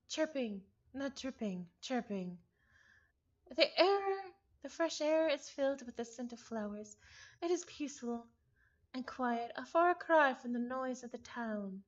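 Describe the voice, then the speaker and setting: A woman streamer reading a visual novel aloud, expressive and given to breaking off into exasperated, chatty commentary. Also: high-pitched, dull audio. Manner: expressive, breaks off narration to exclaim and repeat words in exasperation.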